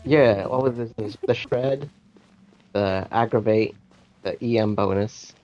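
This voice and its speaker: A man's voice: lowish voice, unhurried, darkish tone, lo-fi sound. A man chatting casually while gaming with friends, rambling and often interrupting himself. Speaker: co-op gaming partner. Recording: game music behind. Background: video game music.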